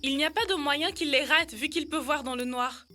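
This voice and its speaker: A woman's voice: high-pitched, moderate pace, bright, forceful tone, soft background music. A woman playing an eager, curious young child in an educational children's cartoon dub. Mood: excited.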